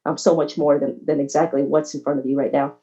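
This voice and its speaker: A woman from Texas giving an informal, earnest and halting personal account as a panelist on a video call. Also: low-pitched, little pitch movement, mellow, slight background noise. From Texas, United States. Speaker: panelist, athletic trainer. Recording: slightly dull video call audio.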